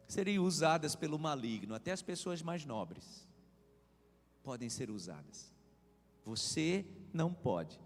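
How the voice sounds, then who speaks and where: A man preaching a sermon as pastor, driving his points home with swelling emphasis and repeated refrains. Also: a little high. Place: church hall. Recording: reverberant church hall.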